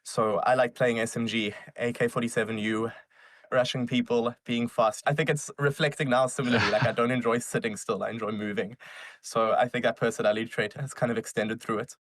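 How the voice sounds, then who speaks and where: Energetic young man from South Africa. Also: mid-range voice, dry recording. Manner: energetic, fast, casual storytelling. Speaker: guest, startup founder. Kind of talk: casual interview answers.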